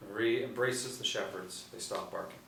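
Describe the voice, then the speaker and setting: A man's voice: on the low side, normal speed, gravelly, fairly bright tone. A middle-aged man reading screenplay stage directions aloud as narrator at a table reading. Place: small indoor room. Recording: roomy, distant mic, light room noise.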